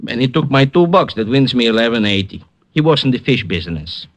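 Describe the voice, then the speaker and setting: A man's voice: mid-range voice, very animated, a little fast, lightly acted. A man playing a comic, broke Russian artist and informant with a Russian accent in an old radio comedy-mystery. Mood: comic protesting. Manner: theatrical, exclamatory, scornful. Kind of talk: 1950s comedic radio acting.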